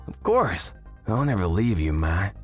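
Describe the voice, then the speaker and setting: An actor playing an earnest, devoted young man full of grief and vengeance in an emotional animated drama. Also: sits low, unhurried, faint music behind. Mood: tender. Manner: intense, emotional, exclamatory. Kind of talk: dubbed anime-style dramatic acting.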